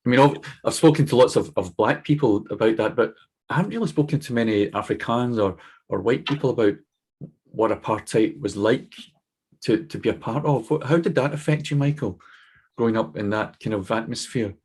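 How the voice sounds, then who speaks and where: A man's voice: mid-range voice, a little fast, a little mellow, breathy edge. A man hosting a podcast, welcoming and encouraging his guest as he asks questions. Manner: friendly, encouraging interviewer. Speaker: podcast host. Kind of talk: casual interview conversation.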